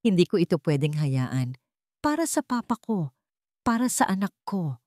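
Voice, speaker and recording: A woman's voice: on the low side, sing-song, moderate pace, dark tone, dry recording, studio quality. A woman voicing a grieving, resolute young heroine with a firm, determined manner in a romantic audio drama. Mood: firm, pained.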